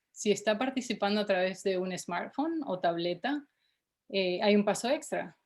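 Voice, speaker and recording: A woman from Venezuela with a Venezuelan accent, a nonprofit director hosting a webinar and moving between Spanish and English with frequent fillers. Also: on the low side. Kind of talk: bilingual webinar introduction.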